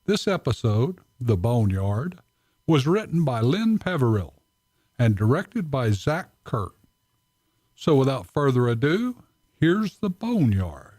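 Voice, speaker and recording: A man's voice: mid-range voice, sing-song, slow, a little mellow, dry recording, clean studio sound. A man hosting a radio program with a formal, scripted introduction. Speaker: radio show host.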